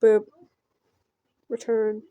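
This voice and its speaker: A woman's voice: on the high side, monotone, warm tone, no room sound, dull audio. Woman with a dry, close sound reading aloud. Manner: halting, stumbling read-aloud with offhand reactions. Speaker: gameplay host reading a visual novel. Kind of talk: casual let's play with read-aloud narration.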